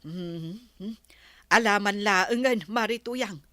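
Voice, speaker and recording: Unhurried, sympathetic neighbor woman, Ilocano accent, radio drama; bright voice, slow delivery. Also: medium pitch, no echo, studio quality. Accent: Ilocano Filipino. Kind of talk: radio comedy-drama acting.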